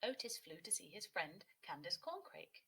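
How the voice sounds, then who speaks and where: A woman teacher narrating a children's story, expressively and clearly phrased for young listeners. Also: fairly low pitch, sing-song, normal speed, bright, forceful tone.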